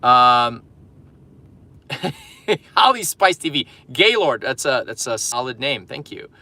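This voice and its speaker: Upbeat, bright-voiced Polish-born fitness coach. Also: male voice, mid-range voice, very animated, slow pace. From Poland. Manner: chatty, upbeat, reads viewer comments aloud. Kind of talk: casual livestream chat while driving. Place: in a car in traffic.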